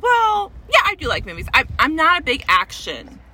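A woman's voice: high-pitched, huge pitch swings, moderate pace, sharp tone, slight background noise. A woman co-hosting a podcast, chatting casually with friends in a self-deprecating, hesitant way, often restarting her sentences. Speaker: podcast co-host. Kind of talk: casual chat among friends.

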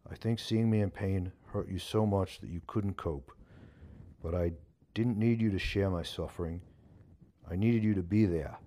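Audiobook role: male reader as a plain-spoken young man, weary, guarded, caring, low-voiced. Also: normal speed, mellow, natural voice. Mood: sad, firm. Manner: frank, resigned, plain-spoken.

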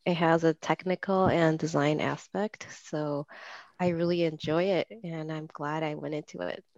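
Woman from Peru with a gravelly voice. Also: low-pitched, fairly even intonation, normal speed, a little mellow, no echo, lo-fi sound. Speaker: lighting designer guest. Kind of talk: podcast interview.

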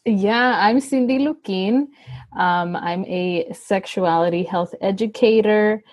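A woman's voice: medium pitch, slow pace, darkish tone. A woman in her thirties from Los Angeles, a guest educator speaking in an easygoing, friendly way and mixing in Spanish. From Los Angeles, United States. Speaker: guest, sexuality health educator. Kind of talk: interview.

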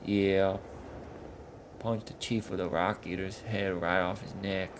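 A man voicing a gruff, blunt male troll henchman who talks loudly in broken rustic grammar, over a noisy background hiss. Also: sits low, level tone, takes it slowly. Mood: gossipy.

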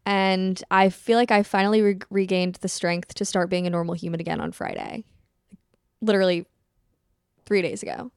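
A young woman co-hosting a podcast, telling stories in an animated, chatty way. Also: fairly low pitch, normal speed, smooth, no echo, clean studio sound. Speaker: podcast co-host. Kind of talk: casual chat.

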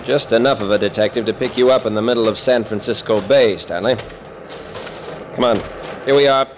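An actor playing a confident, breezy, commanding captain-detective with an American accent in an old radio thriller. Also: male voice, mid-range voice, a little fast, close to an everyday voice. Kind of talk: 1940s radio thriller acting. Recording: noisy old-time radio recording.

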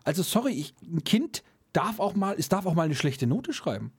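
This is a man with a high, husky voice who talks casually and bluntly. He rants about how things should be, in plain everyday words. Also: very animated, normal speed. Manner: blunt, ranting, colloquial. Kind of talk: casual chat.

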